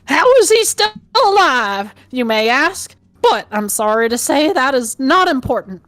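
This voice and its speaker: A woman voicing an ancient, indignant old man with a Southern US accent and a tired rasp, in a comic story reading. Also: high-pitched, big pitch swings, unhurried, fairly bright tone, dry recording, voice pushed up, heavily acted. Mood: indignant, folksy.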